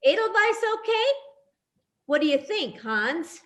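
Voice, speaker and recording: A woman's voice: sits high, sing-song, a little slow, a bit bright, exaggerated voice. A woman playing a hospitable, sociable bartender in a radio-style play, with a chatty, welcoming way of talking. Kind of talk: radio-play style readers theatre acting.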